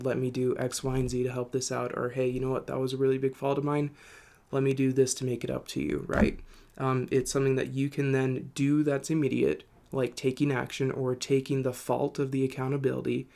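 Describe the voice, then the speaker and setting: A man's voice: medium pitch, vocal fry, darkish tone. A young man hosting his own channel, talking casually while congested and tired from illness, rambling and thinking aloud as he goes. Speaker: host. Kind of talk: casual solo talk to camera.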